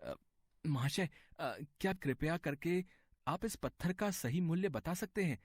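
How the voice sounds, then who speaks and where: Man acting a husky, troubled village seeker. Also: fairly high pitch, expressive, unhurried, darkish tone, no echo, clean studio sound. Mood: polite, hesitant. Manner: hesitant, earnest, polite. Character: troubled, humble, polite village man seeking life's worth. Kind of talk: dramatized Hindi moral story. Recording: faint music behind the voice.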